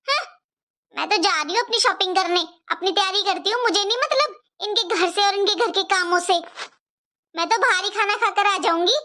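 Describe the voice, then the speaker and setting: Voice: extremely high pitch, brisk, bright, forceful tone. A performer voicing a resentful, defiant young married sister-in-law in a cartoon moral story, complaining and scolding. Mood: indignant. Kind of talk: animated moral story dialogue.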